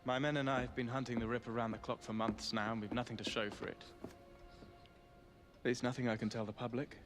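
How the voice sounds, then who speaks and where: An actor playing a weary male police inspector in a video game, speaking in a grave, measured, formal way. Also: medium pitch, flat delivery, over music, lightly acted. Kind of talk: video game cutscene acting.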